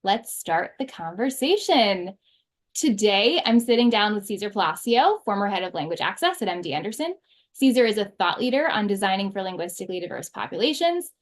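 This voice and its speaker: A woman's voice: medium pitch, very animated, a little fast. A woman speaking as the host of a conversational interview.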